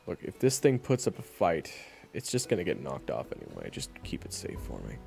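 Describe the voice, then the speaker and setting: A man playing a gruff, jaded vampire hunter in an audio roleplay, blunt and sternly protective as he gives terse orders. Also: deep voice, wildly swooping pitch, a little fast, music in the background, heavily acted. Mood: curt, commanding. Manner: blunt, terse, commanding, cursing. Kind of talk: audio roleplay acting addressed to the listener.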